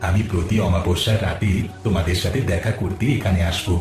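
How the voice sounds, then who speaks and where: Man voicing a deep echoing magical whale. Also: steady tone, quick pace, close to an everyday voice. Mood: gentle, reassuring. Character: magical young whale, gentle and grateful, giving gifts. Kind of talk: cartoon voice acting. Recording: echoing, distant, music behind.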